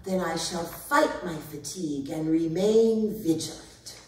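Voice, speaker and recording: A woman's voice: on the low side, unhurried, a little mellow, lightly acted. A woman playing a stubborn, indignant pet cat in a staged reading, speaking in a pompous, formal way with precise diction. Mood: haughty. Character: haughty, stubborn, indignant female pet cat named Callie. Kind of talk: theatrical staged dramatic reading. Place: arts centre performance space. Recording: echoey hall, distant microphone.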